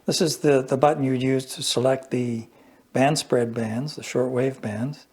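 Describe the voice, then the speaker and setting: An older man giving a casual, unhurried product walkthrough, often pausing mid-sentence as he explains. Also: mid-range voice, steady tone, darkish tone, slightly rough. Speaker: vintage radio reviewer.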